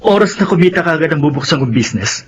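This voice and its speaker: A man's voice: a little high, lively intonation, fast talker, close to an everyday voice. A loyal young employee, voiced by a man, answers his boss with humble hesitation. The recording is a little dull, with a faint hiss behind him. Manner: deferential and hesitant, full of "eh" and polite "po". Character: loyal, humble male employee, eager and polite. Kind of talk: radio drama acting.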